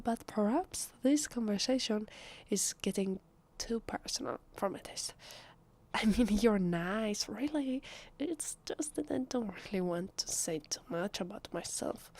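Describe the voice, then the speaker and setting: Woman acting a breathy Italian-accented vampire in disguise. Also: fairly high pitch. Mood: evasive, uneasy. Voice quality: soft, husky, breathy, half-whispered. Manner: hesitant, slow, drawn-out. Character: evasive, flustered female vampire posing as human. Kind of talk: ASMR roleplay.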